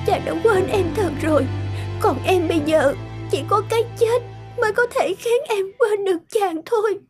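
Công chúa trẻ giọng cao, đau khổ do một phụ nữ lồng tiếng. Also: female voice, very high voice, moderate pace. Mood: despairing. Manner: plaintive, tearful. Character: heartbroken young princess pleading with her lost love. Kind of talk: dubbed fairy-tale cartoon acting. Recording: loud background music.

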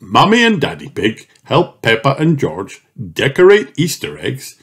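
Slow, very animated man reading a storybook. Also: medium pitch, noisy background. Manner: animated, deliberate, child-directed delivery. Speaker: children's book reader. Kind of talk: expressive read-aloud storybook narration. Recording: music behind the voice. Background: music.

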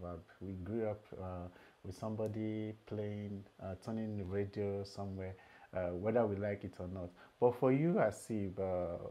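A man's voice: low voice, dark tone. A middle-aged man moderating a webinar from a room at home over a webcam, asking strings of questions with many hesitant fillers. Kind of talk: webinar hosting. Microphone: webcam video call.

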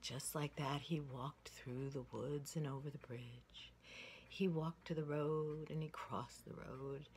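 A woman in her sixties telling a children's story in a dramatic, folksy way with emphatic pauses. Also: low-pitched, steady tone, darkish tone, slight background noise. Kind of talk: animated oral storytelling for children. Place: indoor room with wooden ceiling. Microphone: phone at arm's length.

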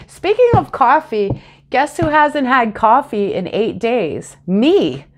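A woman's voice: a little high, takes it slowly, a little hiss or hum. Congested woman chatting through a tarot reading. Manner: chatty and animated, talks with her hands. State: congested, a little sniffly. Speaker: tarot reader. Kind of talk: casual tarot reading to camera. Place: small home room, indoors. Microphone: lapel mic.

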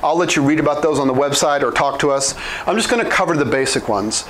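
A man in his thirties explaining software licenses at a whiteboard, talking quickly and informally with frequent restarts and self-corrections, on a lapel mic in a small room. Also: fairly high pitch, slight background noise, poor audio. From Oklahoma. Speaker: product trainer.

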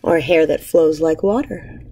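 A woman in her thirties, an elementary teacher reading a picture book aloud to children with measured, expressive storybook phrasing. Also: low voice, background noise. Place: indoors, empty school classroom.

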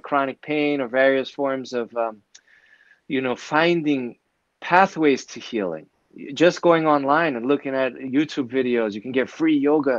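Therapist guest, new yorker, rambling with fillers, dry muffled video call audio, forties. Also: male voice, medium pitch, dry recording. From New York City. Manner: warm, rambling, many fillers and restarts. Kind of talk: conversational interview answers. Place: indoor office, video call. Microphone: earbuds on a video call.

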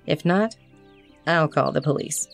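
Female social worker: low register, inquisitive, sensible, polite, for radio play. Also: a little slow, creaky, faint music behind. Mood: calm, inquiring. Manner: polite, matter-of-fact. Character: practical, level-headed female social worker, calmly inquisitive. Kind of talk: audio drama acting.

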